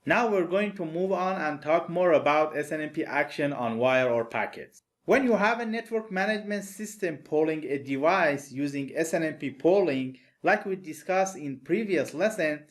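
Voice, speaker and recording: A man's voice: a little high, expressive, normal speed. A man with a non-native English accent, giving a recorded networking lecture over slides in a methodical, explanatory way. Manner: methodical, plain explanatory delivery. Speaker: networking instructor.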